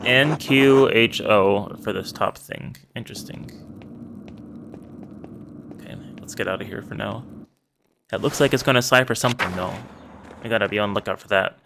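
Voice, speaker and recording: A man's voice: on the low side, moderate pace, pauses often. A man casually commenting while he plays a narrative game, curious and thinking aloud. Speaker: let's play narrator. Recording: clear background noise.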